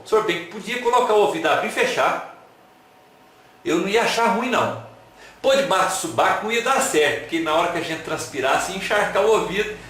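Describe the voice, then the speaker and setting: A man giving a playful lecture, asking his listeners questions and joking with them. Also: high voice. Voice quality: animated, playful, asks the audience questions. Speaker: lecturer at an online talk. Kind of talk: lively lecture with jokes and rhetorical questions. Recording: very echoey room.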